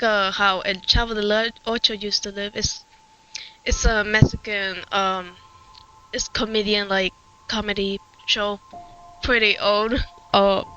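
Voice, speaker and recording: Young Puerto Rican gamer woman, Spanish accent, mid-pitched, loose rambling let's-play chat with music underneath. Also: pushed and bright, highs cut off. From Puerto Rico. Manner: rambling, many fillers and restarts. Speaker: game streamer. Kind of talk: casual let's-play commentary. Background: game music.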